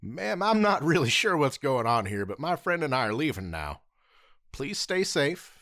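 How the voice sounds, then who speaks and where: A man's voice: medium pitch, big pitch swings, normal speed, fairly bright tone, dry recording, clean studio sound. A man playing a polite, easygoing young male armored hero with a drawling, good-humored manner. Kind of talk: audio drama acting.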